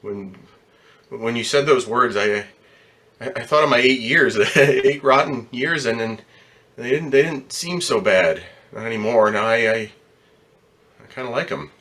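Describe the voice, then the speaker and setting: A man's voice: medium pitch, a little slow, sharp tone. A man acting in a radio drama as a troubled, weary, plain-spoken ambulance driver, stammering and repeating his words. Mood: shaken, grieving.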